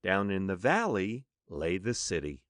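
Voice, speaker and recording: A man's voice: deep voice, very animated, unhurried, a bit bright, dry recording, clean studio sound. A man narrating a children's story expressively, with dramatic emphasis as an audiobook narrator.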